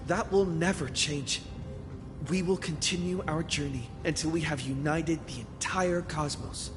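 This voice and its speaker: An actor playing an earnest, grave and defiant young traveler in a video game, giving solemn, deliberate speeches. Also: male voice, a little high, close to an everyday voice. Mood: resolute, defiant. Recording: long hall reverb, music underneath.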